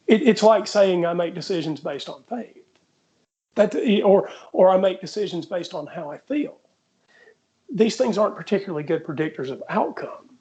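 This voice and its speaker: A man in casual debate, speaking in a measured, deliberate and conciliatory way as he orders his points. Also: high voice, mellow, rough recording.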